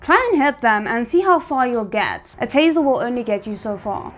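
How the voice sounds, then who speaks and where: Female reaction host, higher-pitched, casual, addresses on-screen characters directly. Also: expressive, normal speed, a little hiss or hum. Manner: talks back to the characters on screen.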